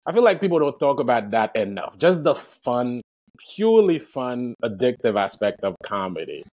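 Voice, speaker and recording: A man's voice: medium pitch, big pitch swings, normal speed, a little mellow. A man from Haiti with a Haitian accent, a stand-up comedian talking casually and earnestly about his craft, with the odd curse word. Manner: reflective, earnest, swears casually. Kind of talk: casual conversation.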